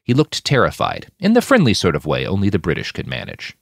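A man's voice: low voice, huge pitch swings, brisk, creaky, fairly bright tone, dry recording, studio quality. A man reading a fiction story aloud as a dramatic audiobook narrator. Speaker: author narrating his fiction podcast.

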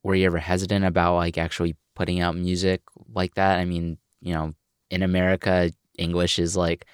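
A man asking an interviewee questions in a calm, plain way. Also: deep voice, fairly quick, smooth, no room sound, clean studio sound.

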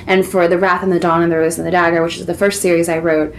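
A woman's voice: lowish voice, level tone, fast talker, slight background noise. A woman whose first language is Korean, chatting as an author guest in a casual interview, laughing often and restarting her sentences.

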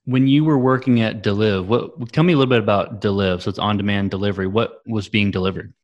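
A man hosting a tech podcast, giving a smooth scripted intro and then asking interview questions. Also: lowish voice, steady tone, fast, dull audio. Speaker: podcast host.

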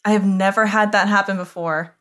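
A woman co-hosting a podcast, telling a story in an animated, casual way. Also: mid-range voice, brisk. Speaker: podcast co-host. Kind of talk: casual interview chat.

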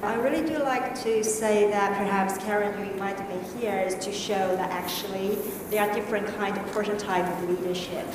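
A woman with a non-native English accent talks slowly and quietly in a measured, analytical way on a discussion panel. She is heard from a distance in a large, very echoey hall with a long reverb. Steady background noise sits under her voice. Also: mid-range voice. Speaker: panel speaker.

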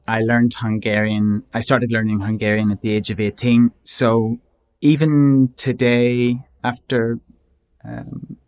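Male translator, interview guest, reflective and measured, mid-range voice. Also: medium pitch. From Northern Ireland. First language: English. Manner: measured, reflective, with frequent restarts. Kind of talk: thoughtful interview answers.

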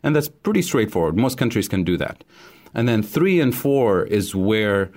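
Deep-voiced man from Tunisia explaining. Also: very animated, a little mellow. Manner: measured, explanatory. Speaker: guest economist. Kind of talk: interview answer.

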